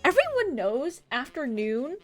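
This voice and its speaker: High-voiced chatty woman streamer. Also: very animated, measured pace, soft background music. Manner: chatty, rambling, talking to viewers. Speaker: live game streamer. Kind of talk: casual livestream chat. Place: indoor home office.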